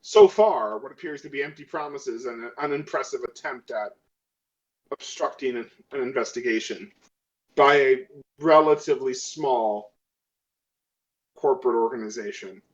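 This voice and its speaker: A man's voice: mid-range voice, moderate pace, natural voice. Man as a skeptical crewman, muffled lo-fi sound. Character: skeptical, self-interested crew member demanding proof.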